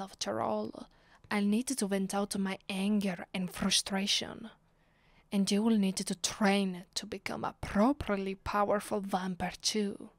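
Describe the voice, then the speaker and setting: A woman with an Italian accent playing a commanding, vengeful vampire in an ASMR roleplay, her voice soft and breathy, often sinking to a whisper. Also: mid-range voice, no room sound. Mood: teasing, commanding. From Italy. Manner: slow, deliberate, imperious. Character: commanding, vengeful female vampire, secretly affectionate. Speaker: ASMR voice actress.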